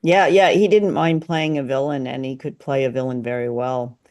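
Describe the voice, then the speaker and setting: A woman's voice: rumbling low, fairly even intonation, moderate pace, darkish tone. A woman co-hosting a podcast, chatting casually about an old radio drama and laughing often. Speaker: podcast co-host. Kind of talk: casual review chat.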